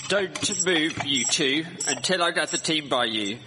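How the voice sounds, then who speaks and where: A man playing a folksy wagon driver with a husky voice in a live radio drama. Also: fairly high pitch, lively intonation, a little slow, background noise. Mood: urgent coaxing. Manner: folksy, coaxing. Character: wagon driver urging his horses through mud. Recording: echoey hall, live stage recording.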